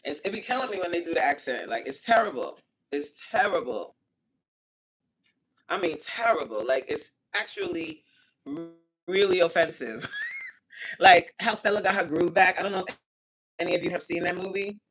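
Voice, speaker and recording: A woman's voice: lowish voice, moderate pace, long pauses, a little hiss or hum, low-quality recording. Outspoken woman livestream host. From United States. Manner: animated and outspoken, exclaims often, laughs. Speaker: livestream host reading chat. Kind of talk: casual livestream chat.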